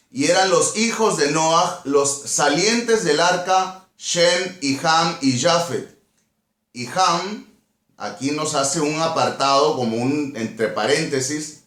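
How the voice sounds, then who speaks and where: A man's voice: fairly high pitch, a bit bright, echoey room. A man with a Peruvian Spanish accent teaching a Bible study, reading verses and explaining them didactically, repeating phrases and questions. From Peru.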